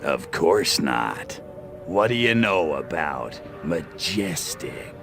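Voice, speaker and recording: A man playing a small, cocky, sadistic alien invader in a video game, with a gravelly, sardonic, menacing drawl. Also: extremely low pitch, big pitch swings, takes it slowly, full character voice. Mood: mocking menace. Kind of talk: video game cutscene acting. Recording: music behind the voice.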